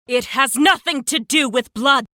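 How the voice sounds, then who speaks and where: A woman's voice: sits high, a little slow, sharp tone, no room sound. A woman voicing a resolute, noble knight in a video game, earnest and solemn. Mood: stern. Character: resolute, noble female knight sworn to her prince. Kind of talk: dramatic video game voice acting. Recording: dry, music under the voice.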